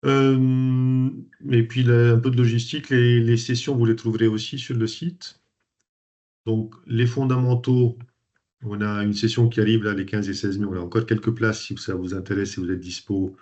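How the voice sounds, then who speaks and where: A man's voice: medium pitch, little pitch movement, moderate pace, mellow. A man from Lebanon hosting a coaching webinar, talking informally with frequent fillers and restarts. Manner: hesitant, many fillers and restarts. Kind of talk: informal presentation to an online group. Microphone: video call. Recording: dull lo-fi video call audio.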